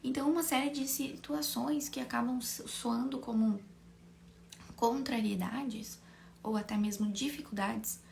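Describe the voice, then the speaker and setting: A Brazilian woman teaches an informal online class in a fairly high voice with plenty of creak. She sounds a little hoarse from a cold. She explains conversationally, often checking in with short tag questions, over a faint layer of noise. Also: fairly even intonation, unhurried, a little mellow. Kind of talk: informal live lesson with rhetorical questions.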